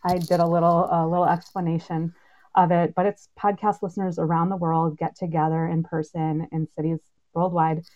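A woman's voice: fairly low pitch, flat delivery, normal speed, darkish tone. A woman moderating a panel in a casual, unscripted way. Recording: slightly dull lo-fi online audio.

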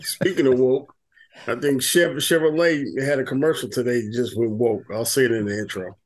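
A man co-hosting a podcast, making loud crude jokes. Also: medium pitch, fairly even intonation, normal speed, rough recording. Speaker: podcast co-host. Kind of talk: casual podcast banter.

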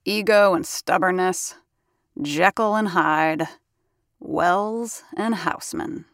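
Wry, low-voiced worldly woman, dry close sound. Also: takes it slowly, no room sound. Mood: wry, confiding. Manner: sardonic, knowing. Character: wry, worldly, unimpressed female theatre assistant and narrator. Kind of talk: audio drama acting with confiding narration.

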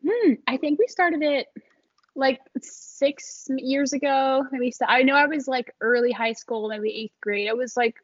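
A young woman speaks as an interview guest in a relaxed, chatty way, her voice a touch high and breathy. She fills her talk with little laughs and fillers. The audio is dry and slightly muffled. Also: a little slow, no echo. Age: young adult. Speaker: guest, small business founder. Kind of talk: casual interview conversation.

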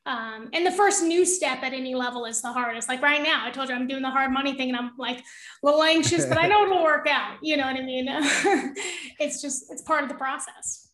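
A young woman with a high-pitched voice, a podcast guest talking casually and encouragingly, laughing often. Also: a little fast, fairly bright tone, roomy sound. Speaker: podcast guest, real estate investor. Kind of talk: casual interview conversation.

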